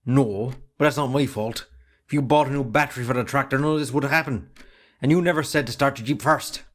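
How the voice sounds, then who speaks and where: A man's voice: medium pitch, fast, close to an everyday voice. A man playing a put-upon young farmer's son in an amateur drama, defensive and grumbling, with an Irish accent. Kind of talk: amateur stage drama acting.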